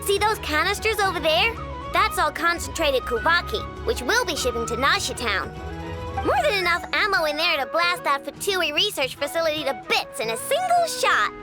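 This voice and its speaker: Cocky young inventor gal, casual and slangy, lively voice, game music behind. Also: female voice, very high-pitched, normal speed. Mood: boastful. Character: brash, cocky young female cannon inventor. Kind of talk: video game cutscene voice acting.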